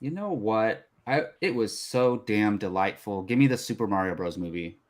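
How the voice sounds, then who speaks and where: Low-pitched man in casual chat. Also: expressive, normal speed. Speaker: panelist on a movie draft show.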